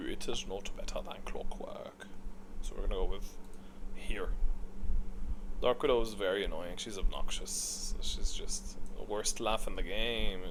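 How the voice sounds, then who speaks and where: A man's voice: mid-range voice, fairly bright tone, slight background noise. A man giving blunt, casual, rambling commentary on game characters, thinking aloud and second-guessing himself. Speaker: gaming content creator.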